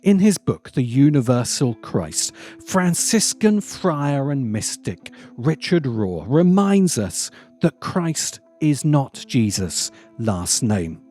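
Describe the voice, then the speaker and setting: A man narrating a scripted video essay with deliberate, emphatic phrasing and dramatic pauses. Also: mid-range voice, sing-song, mellow, soft background music.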